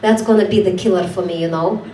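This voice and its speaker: A woman's voice: mid-range voice, steady tone, mellow. Belarusian woman, candid and self-mocking. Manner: candid, self-mocking, talks in quick bursts. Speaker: podcast host. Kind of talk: casual conversation. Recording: roomy, distant mic, faint background noise.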